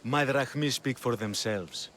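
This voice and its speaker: An actor playing a pompous, wealthy older exile, smug and condescending, in a video game cutscene. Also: male voice, mid-range voice, measured pace, a bit bright, slight background noise, natural voice. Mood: boastful. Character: pompous, wealthy old exiled Athenian man.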